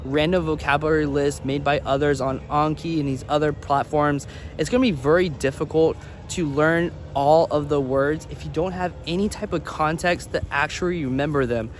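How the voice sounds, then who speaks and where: A man's voice: fairly high pitch, fairly even intonation, normal speed, a little hiss or hum. A man giving casual, instructional advice to viewers as a language-learning vlogger, repeating words for emphasis.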